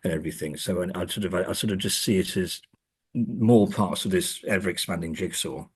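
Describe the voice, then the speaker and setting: A man speaking as an academic interview guest, thinking aloud haltingly and repeating words as he goes. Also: low voice, husky. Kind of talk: conversational interview.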